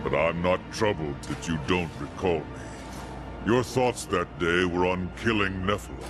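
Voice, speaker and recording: An actor playing a solemn angel warrior who guards an outpost of light in a fantasy game, speaking formally and with measure. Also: male voice, low-pitched, moderate pace, over music, lightly acted. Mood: proud, welcoming.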